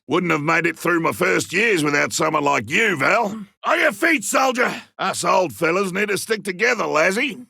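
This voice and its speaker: A man voicing a gruff, hearty older Australian big-game hunter in a video game, gravelly, barking short battlefield lines. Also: high voice, lively intonation, moderate pace, bright, forceful tone, no room sound, shouting, studio quality, heavily acted. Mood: gruff encouragement, then urgent rallying.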